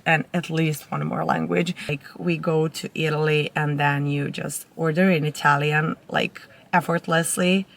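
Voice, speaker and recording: A woman's voice: lowish voice, fairly bright tone. A young woman, a native Serbian speaker with a Serbian accent, chatting casually to camera in an informal, rambling way as a vlogger. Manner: informal, rambling with self-corrections.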